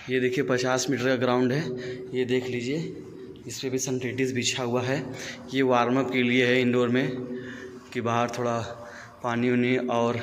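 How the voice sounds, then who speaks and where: A young man from India walking viewers through an outdoor athletics stadium, describing what he shows in a plain, direct way. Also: medium pitch. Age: young adult. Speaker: vlogger, athlete. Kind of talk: walking tour narration. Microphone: phone camera, handheld. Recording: outdoor phone audio with background noise.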